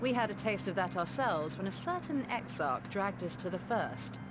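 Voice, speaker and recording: A woman's voice: medium pitch, lively intonation, normal speed, very noisy, natural voice. A woman playing a scholarly, confident mage in a video game, explaining things in formal, articulate diction. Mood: measured, explanatory. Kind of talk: scripted video game dialogue.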